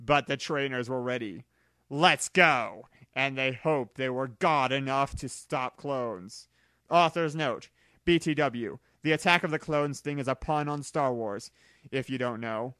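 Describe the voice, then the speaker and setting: A man reading fan fiction aloud as narrator in a plain, deliberate way. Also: mid-range voice, fairly bright tone, smooth, dry recording.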